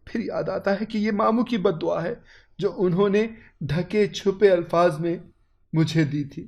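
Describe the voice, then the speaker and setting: A man's voice: medium pitch, a little mellow. A man narrating a scripted moral story in the first person, with a dramatic, sorrowful, literary delivery.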